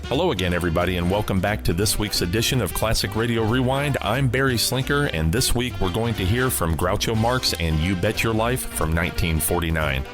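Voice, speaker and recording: Male radio host, deep voice, scripted show introduction, clear music bed behind. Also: brisk, hardly any pauses.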